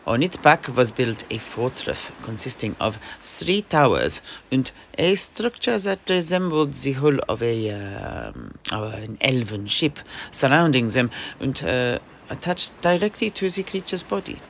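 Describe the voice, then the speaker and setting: A man playing a mercenary knight, telling a sea adventure in a halting, theatrical way for a fantasy audio play. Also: mid-range voice, big pitch swings, creaky, a bit bright, slight background noise, exaggerated voice. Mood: dramatic storytelling.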